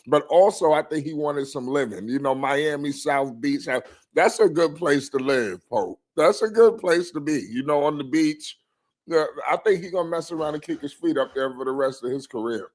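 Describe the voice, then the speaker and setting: A man's voice: a little high, normal speed. A man hosting a sports stream in casual, slangy talk, often stammering into his phrases.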